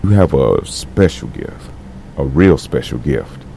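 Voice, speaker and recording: A man acting a gruff but tender veteran with a gravelly voice in an audio drama, speaking gently and haltingly. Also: a bass voice, sing-song, full character voice. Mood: earnest, encouraging. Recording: faint background noise.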